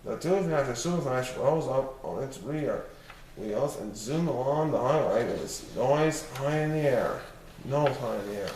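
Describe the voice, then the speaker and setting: A man's voice: mid-range voice, lively intonation, measured pace, a little mellow. A man reading a children's story aloud as narrator. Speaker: storybook reader. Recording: roomy, distant mic, faint noise.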